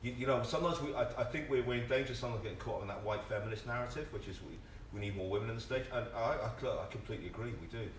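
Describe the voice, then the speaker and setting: A man's voice: medium pitch, racing, hardly any pauses. A man speaking as an actor on a discussion panel, impassioned, often repeating words and phrases as a point builds. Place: live panel in a theatre before an audience. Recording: echoey room, distant mic, background noise.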